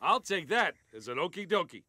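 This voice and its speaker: A man with an American accent voicing a hearty, encouraging semi truck in an animated game, chummy in manner. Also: high voice, brisk, dry recording, full character voice. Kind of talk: animated video game acting.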